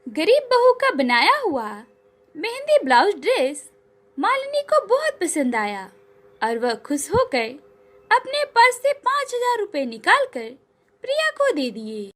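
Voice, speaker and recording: A woman's voice: very high-pitched, wildly swooping pitch, measured pace, fairly bright tone. A woman reading scripted narration for a cartoon moral story.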